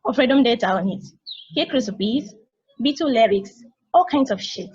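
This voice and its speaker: Knowing younger-sister machine, female reader; high, slightly rough voice, casual wry explaining, dull dry recording. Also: animated, moderate pace, darkish tone, no echo. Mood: knowing, amused. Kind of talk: amateur table read of a stage play.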